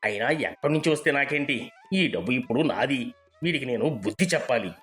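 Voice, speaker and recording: A man's voice: mid-range voice, animated, brisk, fairly bright tone, faint music behind, close to an everyday voice. A man playing a sly, greedy merchant's son in a moral story, scornful and gloating. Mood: amazed, gloating. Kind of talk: dramatised moral storybook soliloquy.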